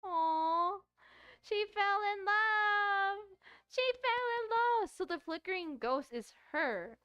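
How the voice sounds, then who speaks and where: A woman streamer giving casual, animated, informal commentary while playing through a visual novel. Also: very high-pitched, slow pace, dry recording, muffled recording.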